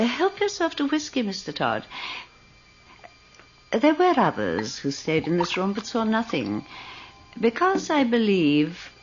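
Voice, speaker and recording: A woman playing an elderly, wealthy, self-serving widow in a radio drama, speaking in a genteel, deliberate, insinuating manner with a husky voice. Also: lowish voice, darkish tone, slight background noise, full character voice. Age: older. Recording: dull lo-fi radio recording.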